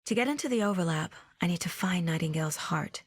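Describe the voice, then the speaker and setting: An actress playing a determined, focused female FBI agent in a video game, with a serious, measured investigator's delivery. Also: fairly low pitch, lively intonation, husky, no room sound. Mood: concerned, focused. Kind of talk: scripted video game dialogue and inner monologue.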